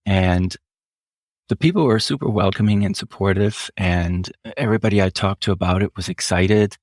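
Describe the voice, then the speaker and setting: Man, 50s, very low voice, measured reflective interview talk, dry close sound. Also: a little mellow, dry recording. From Switzerland. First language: German. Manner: measured, reflective, slows down often, frequent fillers. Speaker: author guest. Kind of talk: interview answers.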